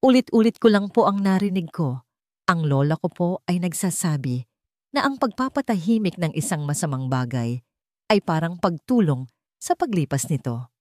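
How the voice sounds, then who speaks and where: A woman's voice: on the low side, big pitch swings, moderate pace, darkish tone, no echo, clean studio sound. A woman with a Filipino accent voicing a brave, modest young girl who speaks respectfully in an audio story. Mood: earnest, modest.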